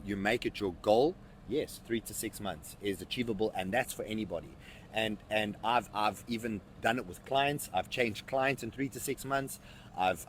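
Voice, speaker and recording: A man's voice: lowish voice, slight background noise. A man in his thirties, a fitness coach, answering interview questions emphatically and often repeating words as he thinks. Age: 30s.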